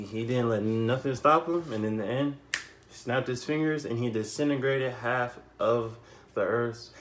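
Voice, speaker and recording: A young man giving a casual, unscripted movie recap, telling it in an animated way with repeated phrases and slangy asides. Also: mid-range voice. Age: young adult. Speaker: YouTube film reviewer. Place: small bedroom, indoors.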